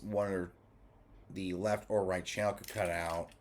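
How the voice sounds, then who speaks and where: A man giving a casual, rambling review, halting and restating his points as he goes. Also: low-pitched, little pitch movement, slight background noise. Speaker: vlogger reviewing music formats.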